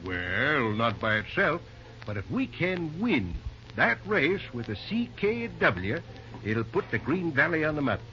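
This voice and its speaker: Folksy old railroad man, animated. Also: fairly high pitch, measured pace, exaggerated voice. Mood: earnest, hopeful. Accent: American. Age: older. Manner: folksy, drawling country talk. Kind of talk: old-time American radio drama acting. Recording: muffled, noisy old radio recording.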